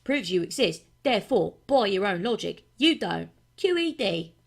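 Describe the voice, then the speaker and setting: Woman doing a smug, pedantic man, lively pitch. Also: vocal fry. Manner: brisk, emphatic, pedantic. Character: smug, quick-reasoning man debating in a comic fable. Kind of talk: comic audiobook dialogue.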